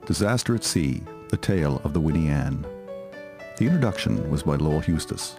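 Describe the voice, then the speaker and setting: A man's voice: rumbling low, lively intonation, fairly quick, music in the background. A man announcing scripted closing credits.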